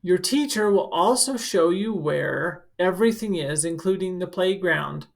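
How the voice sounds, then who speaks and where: A woman reads a picture book aloud in the role of a school counselor. Her voice sits fairly low for a woman and has a strong creaky fry. She speaks directly to young listeners, slipping between the text and informal explanations. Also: animated, a little slow. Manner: instructive, speaking directly to children.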